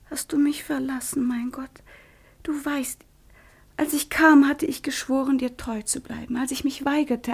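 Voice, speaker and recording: A high female voice gives us a proud, devout young noblewoman torn by love. Her delivery is intense, breathy and declamatory, in the manner of theatrical radio drama. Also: a bit bright. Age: young adult.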